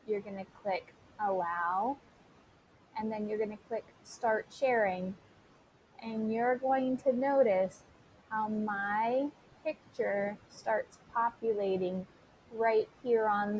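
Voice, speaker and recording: A woman teacher giving an informal software tutorial, talking listeners through it step by step and addressing them directly. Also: mid-range voice, a little hiss or hum, poor audio. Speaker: teacher recording a tutorial for students.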